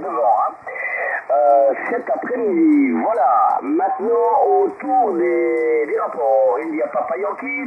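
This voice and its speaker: Creaky-voiced man on a noisy radio link. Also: very high-pitched. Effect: radio transmission. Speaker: amateur radio operator in a group contact. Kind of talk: casual radio chat with signal reports and greetings. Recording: noisy narrowband two-way radio transmission.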